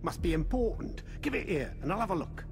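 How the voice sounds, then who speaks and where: Game bit part: wheedling male rogue, high voice, lively swooping intonation, mocking rough diction. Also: brisk, heavily acted. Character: sly, know-it-all male medieval rogue. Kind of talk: video game character acting. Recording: music behind the voice.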